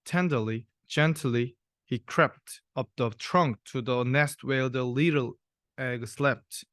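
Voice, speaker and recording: Unhurried young man reading a storybook. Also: medium pitch, fairly bright tone, clean tone, dry recording, clean studio sound. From South Korea. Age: young adult. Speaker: university student reader. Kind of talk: reading a rhyming children's storybook aloud.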